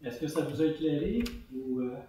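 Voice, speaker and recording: A man's voice: a little high, moderate pace, dark tone, a little hiss or hum. Québécois man speaking in an echoey hall. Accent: Québécois French. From Canada (Quebec). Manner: conversational, explanatory with many fillers. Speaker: conference speaker. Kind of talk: conference talk answering questions. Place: large conference hall. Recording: echoey hall recorded from far off.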